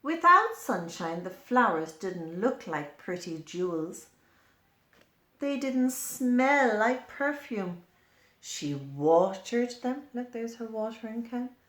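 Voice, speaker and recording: Female children's storyteller, unhurried and animated, explanatory asides, roomy sound. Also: on the high side. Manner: slow, explanatory, pointing things out to young listeners. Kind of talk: expressive children's picture-book read-aloud with asides. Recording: echoey room.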